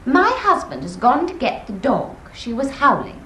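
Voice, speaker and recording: High-voiced, insistent English young wife. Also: female voice, wildly swooping pitch, normal speed, a bit bright, roomy sound, slight background noise, heavily acted. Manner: bossy, coaxing, plaintive. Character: insistent, fussing young married woman, sentimental about her dog. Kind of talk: vintage British stage farce acting.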